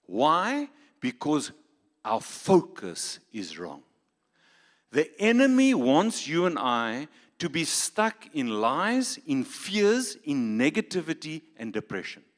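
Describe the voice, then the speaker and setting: A man with a South African accent, preaching a sermon with emphatic rhetorical questions and playful asides. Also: mid-range voice. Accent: South African English. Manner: emphatic, rhetorical questions, switches to Afrikaans for jokes. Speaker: pastor. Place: large church hall. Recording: reverberant church hall.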